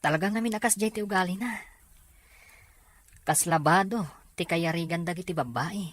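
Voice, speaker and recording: Lady doing a frank young guy cousin in a drama, kinda husky, lowish, looks out for people. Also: normal speed, close to an everyday voice. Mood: sympathetic, frank. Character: young male cousin, frank, protective and sympathetic. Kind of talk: dramatic dialogue in a serial drama.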